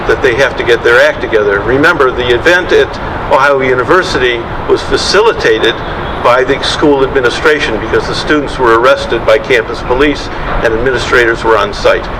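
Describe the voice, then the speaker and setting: A man giving a public address, recounting events as a speaker at a press event. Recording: distant mic, loud room rumble.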